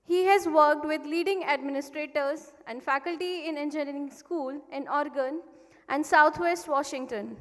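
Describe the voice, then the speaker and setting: A woman's voice: high voice, moderate pace, a bit bright. A woman serving as conference emcee, reading a formal speaker introduction that stumbles in places. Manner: formal, slightly stumbling read. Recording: long hall reverb.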